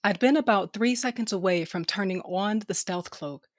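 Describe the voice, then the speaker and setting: A woman's voice: medium pitch, moderate pace, dry recording, studio quality, lightly acted. A woman playing a starship pilot who narrates her own story in an audio drama. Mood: matter-of-fact.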